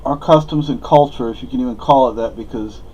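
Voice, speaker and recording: A man's voice: medium pitch, a little fast, a little mellow, husky, dull audio. A man from Spain, a native Spanish speaker, giving a rambling, opinionated monologue with many fillers and restarts. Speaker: vlogger.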